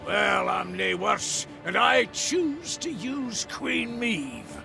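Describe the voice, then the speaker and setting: Very gravelly old Scottish dwarf elder. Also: male voice, high voice, big pitch swings, extremely slow, music in the background, exaggerated voice. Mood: sly, gruff. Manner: blunt, folksy, bossy. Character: gruff, stubborn old dwarf elder of a mountain clan. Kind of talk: dramatic video game voice acting.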